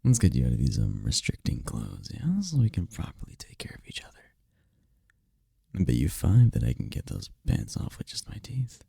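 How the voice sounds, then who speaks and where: Man acting a gravelly, low-voiced incubus lover. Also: huge pitch swings, mellow, no echo, clean studio sound, heavily acted. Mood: playful, seductive. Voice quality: low, gravelly, husky, breathy.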